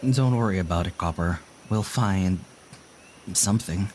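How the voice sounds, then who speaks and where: An actor playing a weary, gentle peacemaker traveling on foot in an audio drama, reasonable, hesitant and softly reassuring. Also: male voice, deep voice, unhurried, slight background noise. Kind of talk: naturalistic audio drama acting.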